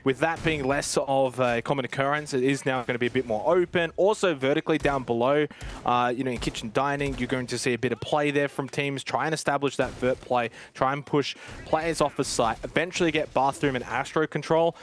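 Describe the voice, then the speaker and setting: A man's voice: medium pitch, steady tone, a little fast, slight background noise. A man giving chatty, explanatory color commentary on an esports match, talking straight to the viewers. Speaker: esports analyst.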